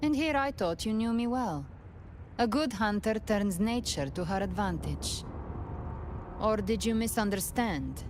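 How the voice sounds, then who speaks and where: Actress as a slow, defiant female hunter. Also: mid-range voice, expressive, background noise, close to an everyday voice. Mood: cool confidence. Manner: proud, deliberate, resolute. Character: defiant, battle-hardened young female demon hunter. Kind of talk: video game cutscene acting.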